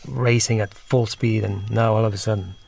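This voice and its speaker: A man's voice: on the low side, steady tone, moderate pace, gravelly, darkish tone, slightly rough. A man giving informal film commentary as its director, reflective and often searching for words. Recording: music behind the voice.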